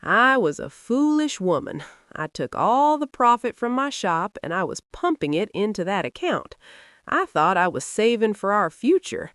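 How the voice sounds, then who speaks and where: A woman performs a proud Nigerian market mother recounting her own folktale with bitter regret. Her Nigerian-accented voice sits at a middling pitch and moves in lively, emphatic swings. The storytelling is dramatic, recorded very dry with no room around it. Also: no room sound. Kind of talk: dramatic first-person folktale narration.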